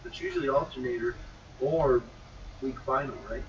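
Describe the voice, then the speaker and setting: A man's voice: mid-range voice, wildly swooping pitch, normal speed. A man making casual shop talk as a CB radio shop worker. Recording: dull distant mic, faint noise.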